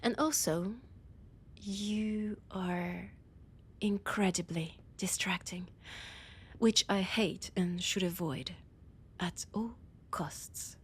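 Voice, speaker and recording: A woman with a French accent playing an annoyed, condescending vampire scholar in a roleplay audio drama, often dropping into breathy whispers. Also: medium pitch, fairly even intonation, very slow, a little hiss or hum. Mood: hungry, menacing. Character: annoyed, haughty female vampire scholar, ancient and hungry.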